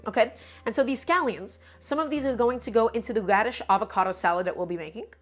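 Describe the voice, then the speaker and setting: A woman's voice: fairly high pitch, fast talker, faint music behind. A woman hosting a live cooking stream, slightly sick and a bit nasal, talking in an upbeat, chatty way. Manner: upbeat, chatty, greets chat by name.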